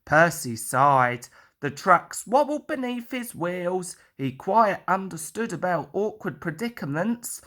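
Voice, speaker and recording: A woman's voice: on the low side, sing-song, slow, fairly bright tone. A woman reading a children's story as a scripted narration.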